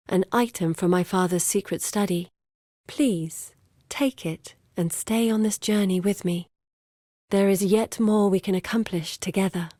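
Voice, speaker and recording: A woman's voice: mid-range voice, darkish tone, no room sound, natural voice. Actress doing earnest grieving scholar lady from a fantasy game, serious n urgent, well spoken, really dry studio sound. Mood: solemn, earnest. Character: earnest, determined woman scholar grieving her lost father. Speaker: video game character voice. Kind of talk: dramatic scripted game dialogue.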